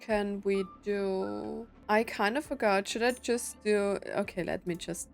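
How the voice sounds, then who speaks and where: Slow, offhand woman streaming a game. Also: mid-range voice, fairly even intonation, gravelly. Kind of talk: casual gameplay commentary. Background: video game music.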